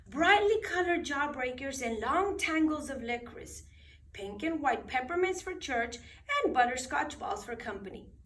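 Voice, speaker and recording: A woman reading a bedtime story aloud expressively, with dramatic, deliberate phrasing for children. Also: high voice, fairly bright tone. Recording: roomy echo, distant microphone.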